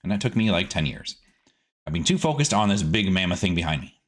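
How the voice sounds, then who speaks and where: Man, gaming host, fairly low voice, highly varied pitch, playful casual commentary, talks to himself. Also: sing-song, fast, fairly bright tone. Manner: playful, talks to himself, sprinkles silly words and sing-song asides. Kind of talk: casual game commentary, let's play.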